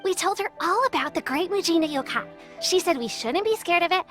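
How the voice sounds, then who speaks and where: Earnest small village child; very high, soft music behind. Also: female voice, animated, a little fast. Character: eager young village child.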